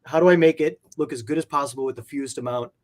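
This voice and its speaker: A man's voice: medium pitch, rapid-fire. A man chatting casually and enthusiastically as an interview guest, rambling and peppering his talk with "like". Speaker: interview guest, comic art collector. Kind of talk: casual enthusiastic chat about comic art.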